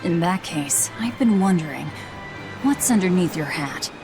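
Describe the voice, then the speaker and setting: A woman's voice: fairly low pitch, lively intonation, a little slow. A woman playing a blunt, guarded young adventurer in a video game cutscene, with a dry delivery. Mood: skeptical. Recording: music under the voice.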